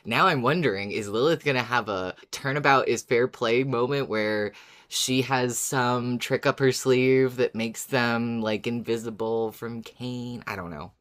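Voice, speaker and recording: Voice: medium pitch, moderate pace, a bit bright. A podcast co-host chatting casually about a story, musing and thinking aloud.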